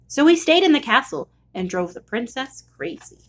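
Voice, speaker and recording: A woman reading a children's storybook aloud in an expressive storytelling style. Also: high voice, normal speed, a little hiss or hum. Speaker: storybook reader.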